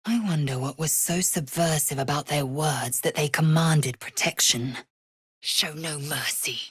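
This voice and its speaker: An actress playing a proud, fierce, zealous female githyanki warrior in a video game, imperious, clipped and formal, with a husky, breathy rasp. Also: low-pitched, unhurried, a bit bright, no room sound, exaggerated voice. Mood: fierce, commanding. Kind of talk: video game character acting.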